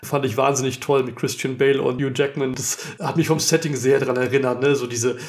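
A man's voice: mid-range voice, steady tone, fairly quick, a little mellow. A man hosting a podcast, talking with his guests in a conversational interview style. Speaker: podcast host.

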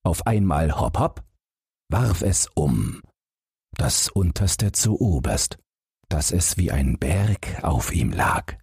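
Deep, husky male storyteller, very animated. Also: dry recording, clean studio sound. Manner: measured, dramatic storytelling. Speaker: audiobook narrator. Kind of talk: expressive scripted fairy-tale narration.

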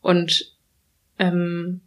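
A young woman talking reflectively in a casual conversation, pausing often with fillers and restarting her sentences. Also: lowish voice, monotone. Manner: thoughtful, many fillers and restarts, laughs when quoting others.

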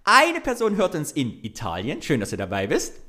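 A man's voice: on the high side, wildly swooping pitch, moderate pace, bright, forceful tone, slight background noise. A man with a Thuringian accent, co-hosting a podcast in casual chat, chatty and often laughing as he talks. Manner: chatty, laughs while talking, listing things off. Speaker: podcast co-host.

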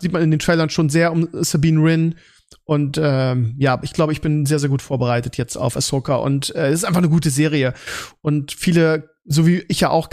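A man's voice: fairly high pitch, a little mellow. A man hosting a podcast in casual chat, often repeating words as he forms his thoughts. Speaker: podcast host. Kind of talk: casual podcast chat about series and films.

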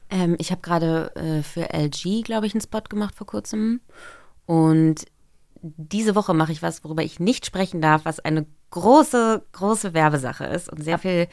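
A woman's voice: lowish voice, measured pace, darkish tone. A woman voice actor, a podcast guest, talking casually about her work and thinking aloud with many fillers. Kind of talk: casual interview chat.

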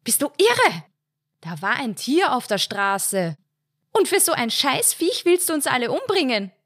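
Woman doing a shrill, high, loud wife who scolds her husband. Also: big pitch swings, measured pace, no echo, clean studio sound, exaggerated voice. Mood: shrill scolding.

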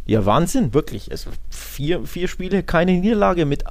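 A man's voice: on the high side, very animated, moderate pace, no room sound. A man co-hosting a football podcast, talking casually and giving opinions in colloquial language, often checking for agreement. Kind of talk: casual football talk and analysis.

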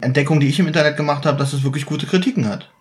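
A man's voice: on the high side, very fast. A man in casual podcast chat, speaking in a hesitant manner. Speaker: podcast host.

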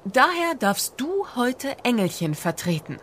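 A woman's voice: fairly high pitch, sing-song, measured pace, fairly bright tone, a little hiss or hum. A woman playing Santa's harried, pragmatic and grumbling wife in a fairy-tale radio play. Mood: businesslike.

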